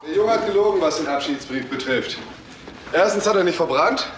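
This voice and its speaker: An actor playing a restless, probing police detective in a German crime radio drama. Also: male voice, a little high, lively intonation, brisk, a little hiss or hum, close to an everyday voice. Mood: agitated. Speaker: investigator.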